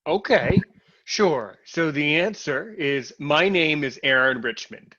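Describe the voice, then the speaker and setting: An American man talking in a casual interview. He is animated, repeats and restarts his words when he gets excited, and comes through a video call. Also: on the high side, slow pace. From United States. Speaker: interviewee and show host. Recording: lo-fi online call audio.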